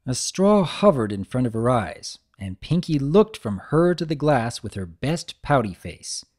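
A storyteller reads fan fiction dramatically. The narration moves over a lively pitch range. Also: unhurried.

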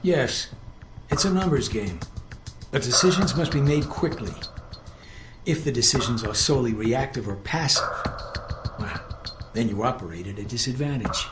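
Actor as a cold, condescending older analyst, roomy echo. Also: male voice, mid-range voice, animated, normal speed, long pauses, lightly acted. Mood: cool, lecturing. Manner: clipped, precise, dry and superior. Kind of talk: video game dialogue acting. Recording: roomy echo with music underneath.

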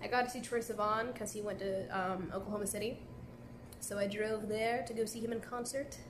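A woman's voice: medium pitch, steady tone, a little fast, background noise. A young woman casually chatting on a live stream from a café, talking into a phone held at arm's length and answering viewer comments in a laid-back way full of "like". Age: young adult. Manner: chatty, laid-back, heavy use of "like" fillers. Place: indoor café at night.

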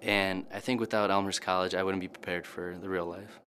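A man's voice: low voice, flat delivery, a little fast, fairly bright tone, a little hiss or hum. A young man, a college student, giving an interview testimonial. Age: young adult.

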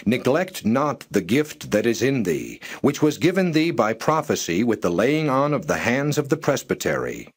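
A man narrating scripture in a measured, solemn and formal reading style. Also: mid-range voice, sing-song, breathy edge. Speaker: Bible narrator.

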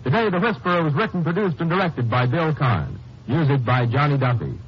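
A man's voice: on the high side, very animated, brisk, slightly rough. A man reading a scripted announcement in the style of a 1950s radio announcer. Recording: dull old radio recording.

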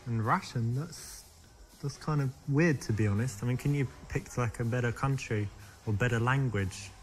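A man's voice: mid-range voice, moderate pace, close to an everyday voice, poor audio. A man in his thirties with a British accent, playing a cocky, teasing dating coach in a live-action dating game, on a lapel mic in a café set. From England. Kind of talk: scripted dating-game acting.

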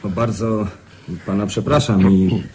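An actor playing a polite, proud young gentleman poker player in a radio drama. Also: fairly low pitch, steady tone, slow pace, a little hiss or hum. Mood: contrite, formal. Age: young adult.

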